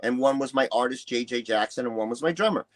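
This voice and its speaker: A man's voice: mid-range voice, quick pace. A man, telling personal stories candidly as an interview guest, blunt and emphatic, swearing freely and acting out other people's remarks. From New York City, United States. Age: early 60s. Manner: blunt, profane, emphatic, acts out quoted dialogue. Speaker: interview guest, retired musician and bodybuilder.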